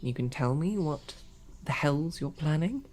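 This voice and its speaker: A woman's voice: deep voice, animated, a little slow, darkish tone, slight background noise. A woman voicing a captive young woman knight who talks in a dry, formal, wryly understated way, in an audio roleplay drama. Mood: dry, sardonic. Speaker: voice actor.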